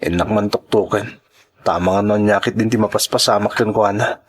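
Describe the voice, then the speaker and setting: Earnest, suspicious young male partner and son played by a man, husky voice, Ilocano audio drama. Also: fairly low pitch, steady tone, fairly quick. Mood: puzzled, suspicious.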